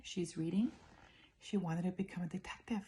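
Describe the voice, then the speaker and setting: A woman reading a children's story aloud in an expressive, emphatic way, speaking directly to her young listeners. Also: lowish voice, moderate pace, slight background noise.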